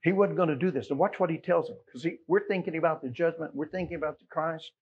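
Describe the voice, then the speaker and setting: A middle-aged American man preaching a Baptist sermon, emphatic, moving between quiet asides and loud exclamations and quoting scripture. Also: on the high side, fast, slightly rough, lo-fi sound. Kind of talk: Sunday sermon with scripture quotations. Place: small church sanctuary, at the pulpit.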